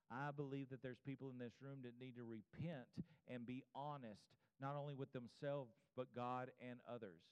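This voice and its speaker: A man's voice: medium pitch, darkish tone, smooth. A man in his fifties preaching a church sermon, speaking earnestly and directly to his congregation in repeated, exhorting phrases. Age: 50s (52). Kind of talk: evangelical church sermon with altar call. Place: church service before a congregation. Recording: muffled, reverberant large room.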